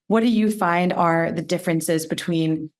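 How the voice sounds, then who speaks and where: Upbeat woman podcast host, lower voice. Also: normal speed. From India. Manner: upbeat, chatty, lots of "like" and "really". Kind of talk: interview hosting.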